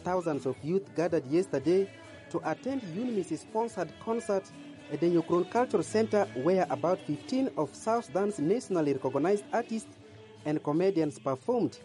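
A man narrating a scripted radio news report in an even, measured way. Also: high-pitched, faint music behind.

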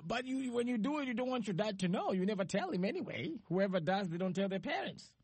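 A man from Africa hosting a panel talk show, moderating and laughing often between quick questions. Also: high voice, expressive, a little mellow, husky, no echo.

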